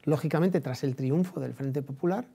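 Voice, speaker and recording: A man with an accent from Spain speaks in a fairly high, mellow voice. He talks as a commentator on history, feeling his way through long thoughts. He often repeats a phrase and tags his points with short questions. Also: creaky, husky. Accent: Spanish from Spain. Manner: discursive, halting, with repeated phrases and tag questions. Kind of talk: conversational historical and political commentary.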